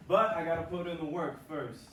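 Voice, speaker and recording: An actor playing an eager young ballplayer with an earnest, placating manner in a staged play reading. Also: male voice, on the high side, brisk, background noise, lightly acted. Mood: placating, dreamy. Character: eager, nervous young male ballplayer, sweet-talking boyfriend. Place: performance room, recorded from a distance. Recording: very echoey room, distant mic.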